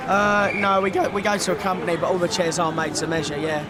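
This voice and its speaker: Sporty guy explaining stuff casually, pretty even tone, highish voice, super noisy background. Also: fast. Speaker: wheelchair rugby player. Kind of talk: casual chat. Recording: very noisy location sound.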